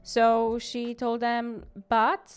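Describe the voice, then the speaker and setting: A woman's voice: a little high, monotone, drawn-out words, clear, resonant tone, soft background music. A woman in her thirties from the Czech Republic, a Czech accent shaped by England, chatting cheerfully as a guest and laughing often. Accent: Czech with English influence. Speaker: guest, hotel commercial executive. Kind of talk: casual interview chat.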